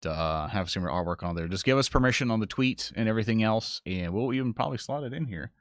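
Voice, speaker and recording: Fast-talking, lower-voiced man chatting with viewers. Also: expressive, no room sound, clean studio sound. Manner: informal, enthusiastic. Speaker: livestream co-host, social media producer. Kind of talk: casual livestream chat addressing viewers.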